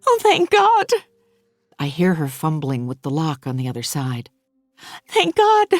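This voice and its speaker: A woman narrator voicing a frightened, tearful young mother in a breathless, high-strung way. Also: wildly swooping pitch, a little slow, dry recording, full character voice. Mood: panicked. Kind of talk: audiobook character voice.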